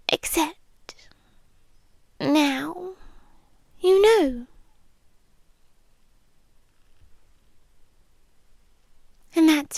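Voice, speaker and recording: Possessive vampire girlfriend, British, female performer: high, very unhurried, soft and whispery, sweetly menacing ASMR delivery. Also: dry recording, full character voice. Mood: coaxing, possessive. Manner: soft, deliberate, lingering pauses, slipping into whispers. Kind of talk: ASMR roleplay, slow and intimate, often whispered. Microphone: close microphone, dry.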